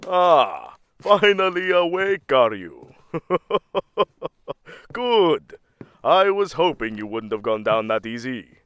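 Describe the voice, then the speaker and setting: A man's voice: medium pitch, very animated, slow pace, pauses often, fairly bright tone, clean tone. A man playing a commanding, bloodthirsty Norse god-king in a fantasy audio drama, taunting in formal, archaic phrasing.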